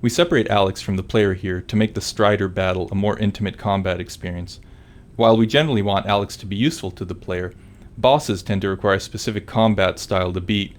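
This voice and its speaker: A man who develops games, delivering prepared commentary about design decisions. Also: low voice. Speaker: game developer.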